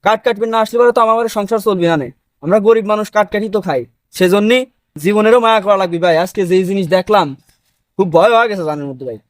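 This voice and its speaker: Man acting poor village woodcutter, high voice, earnest, cautious, close dry sound, drama. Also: quick pace, no room sound, natural voice. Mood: anxious resigned. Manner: rustic, earnest.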